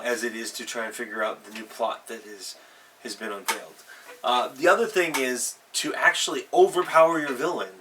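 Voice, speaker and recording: A man with a bright, forward voice of medium pitch talks as a show host giving informal advice. He addresses listeners directly and leans on key words in an unscripted way. The mic sits at some distance, with faint noise behind him. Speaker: host of a tabletop role-playing advice show. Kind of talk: casual unscripted advice talk.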